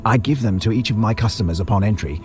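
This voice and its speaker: A man's voice: lowish voice, quick pace, natural voice. A man playing a maniacal butcher villain in an audio drama, theatrical and condescending in his delivery. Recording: music under the voice.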